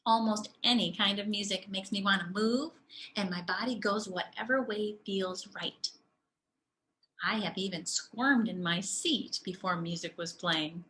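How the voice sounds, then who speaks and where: Woman reading as an eager young girl, bright-voiced. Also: medium pitch, unhurried, close to an everyday voice, rough recording. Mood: earnest, confiding. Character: eager, music-loving young girl, curious and restless.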